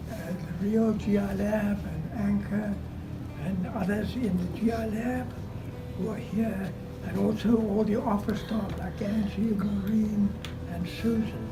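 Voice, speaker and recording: An older man, a retiring physician, earnestly thanking colleagues and family in a party speech. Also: high voice. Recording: distant mic with loud music.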